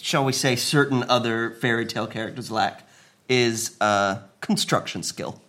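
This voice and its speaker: A man's voice: mid-range voice, moderate pace, bright, forceful tone. A man improvising a mock-earnest, hesitant argument as a comedy panellist. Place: live studio with audience.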